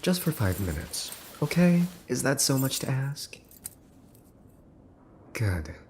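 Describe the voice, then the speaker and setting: A man playing a possessive, teasing young mafia boss's son in an ASMR roleplay, smug and taunting, slipping into breathy whispers. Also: medium pitch, big pitch swings, slow, darkish tone, slight background noise. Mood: mocking, coaxing. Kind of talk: ASMR boyfriend roleplay acting.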